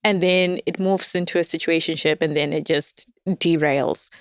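A woman's voice: low-pitched, fairly even intonation, moderate pace, clear, resonant tone, dry recording, studio quality. A woman guest in casual conversation, candid and self-deprecating, laughing often as she talks. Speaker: podcast guest. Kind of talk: casual dating chat.

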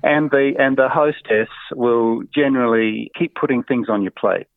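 A man's voice: mid-range voice, normal speed, creaky, no room sound. Hesitant Australian man on a tinny line. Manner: hesitant, many fillers, warm chuckles. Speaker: interviewee. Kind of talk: casual interview answers. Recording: tinny phone-like line, dry.

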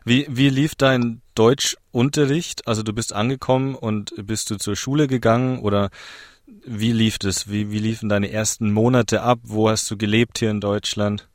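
A man's voice: fairly low pitch, steady tone, moderate pace, no room sound, clean studio sound. A man interviewing a guest in a casual conversation, prompting with frequent short affirmations and leading questions.

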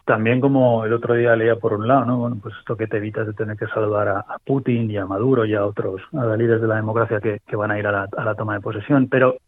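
A man's voice: fairly low pitch, steady tone, fast talker, few pauses, no room sound. A man from Spain with a Spanish accent, a guest commentator thinking aloud with many restarts on a remote call. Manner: thoughtful, restarts often. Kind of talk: casual interview talk. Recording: phone-like remote line.

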